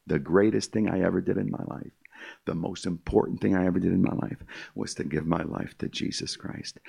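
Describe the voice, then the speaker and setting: A man's voice: sits low, vocal fry, darkish tone. An older man giving an emphatic, repetitive testimony speech to a live audience, calling his listeners "my friend.". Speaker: concert pianist giving testimony.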